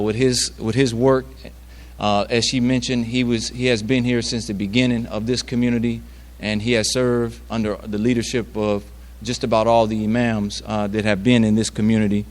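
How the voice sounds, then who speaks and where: A man hosting an event, thanking and introducing speakers informally in short, paused phrases. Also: mid-range voice, flat delivery. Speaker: event emcee introducing presenters.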